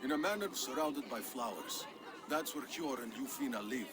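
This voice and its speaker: An actor playing a nosy, grasping dockmaster in a video game, brusque and sardonic. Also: male voice, mid-range voice, expressive, moderate pace, close to an everyday voice. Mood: prying, sly. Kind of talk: dramatic video game acting. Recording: busy outdoor crowd behind.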